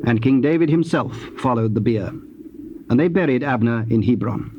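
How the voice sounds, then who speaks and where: A man narrating scripture from a script in a measured, formal storytelling manner. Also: mid-range voice, lo-fi sound. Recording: noisy old cassette tape transfer.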